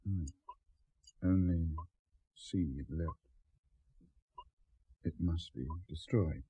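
An actor playing a frantic, overwrought man in a 1970s radio drama, with a breathy, husky voice. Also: deep voice, very slow, warm tone, no echo, exaggerated voice, rough recording. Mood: muttering, dazed. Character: overwrought man named Richard, frantic and raging.

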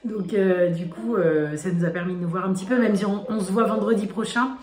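Hoarse woman vlogging in her kitchen. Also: medium pitch, fairly quick, darkish tone. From France. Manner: chatty, many fillers and restarts. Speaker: family vlogger. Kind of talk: casual chat to camera. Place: home kitchen, indoors. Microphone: phone camera at arm's length. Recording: echoey room with family noise.

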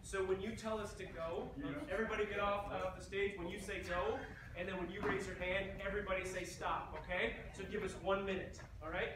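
A man's voice: high voice, little pitch movement, brisk. A man hosting an improv comedy show, chatting casually and projecting to a live audience. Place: large theatre stage, indoors. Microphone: far camera. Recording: echoey hall from far off, noisy audience.

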